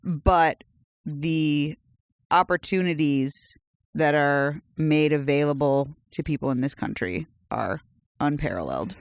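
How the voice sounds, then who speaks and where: A podcast co-host speaking earnestly in casual chat, quick to agree and affirm. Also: medium pitch, no echo, studio quality.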